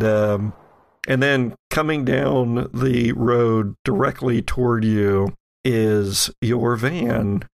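A man's voice: mid-range voice, vocal fry, slight background noise. A man narrating an improvised tabletop role-playing game as game master, piecing descriptions together hesitantly.

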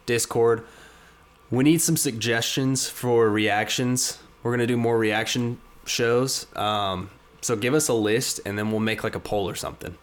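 A man's voice: medium pitch, steady tone, measured pace. A man co-hosting a casual anime recap show, chiming in with easygoing comments. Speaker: podcast co-host.

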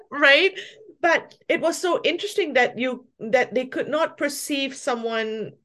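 A woman's voice: high voice, measured pace, sharp tone. A woman from Malaysia, a pastry chef and shop owner, talking reflectively about her story in a casual interview and laughing often.

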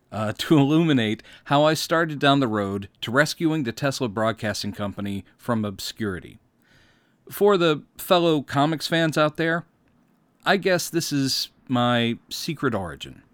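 A man's voice: medium pitch, no room sound. An American man in his fifties from Mississippi, hosting with scripted autobiographical narration in a wry, theatrical storytelling manner. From Mississippi, United States. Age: 50s. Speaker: podcast host.

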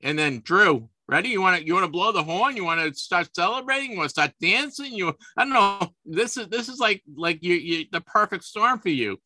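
A man in his fifties chats casually as a sports podcast host, and he often stumbles and repeats himself. His voice sits a little high and sounds bright and forceful. The recording is dry and close. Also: lively intonation, brisk, hardly any pauses, dry recording. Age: 50s. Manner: chatty, repeats words and restarts often.

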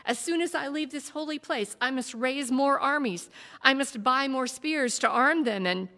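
A woman voicing a proud, anxious warrior king who speaks loudly and insistently, heard in a reverberant hall. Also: high-pitched, animated, a little slow, creaky, pitched above the performer's own voice, heavily acted. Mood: defiant. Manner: loud, insistent, pleading. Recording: long reverb of a big hall.

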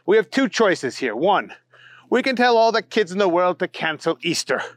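Man playing a high-pitched, indignant, pompous storybook bunny. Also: very animated, moderate pace, no echo. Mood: exasperated, lecturing. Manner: prim, formal, lecturing. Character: indignant, fussy, pompous talking Easter Bunny, male. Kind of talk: children's storytelling character voice.

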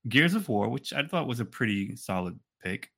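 A man's voice: mid-range voice, very animated, normal speed, vocal fry, bright, forceful tone. A man co-hosting a podcast in a casual, bantering conversational style. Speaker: podcast co-host.

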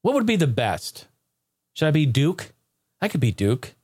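Male, podcast host, very lively pitch swings, jokey and excitable, informal, dry close recording. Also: unhurried, breathy edge, no room sound. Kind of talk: casual comedic podcast chat.

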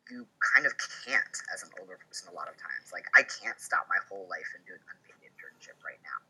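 Low, husky, sharp-edged man, thirty. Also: lively intonation, quick pace, poor audio. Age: 30s. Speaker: podcast guest. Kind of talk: casual podcast chat.

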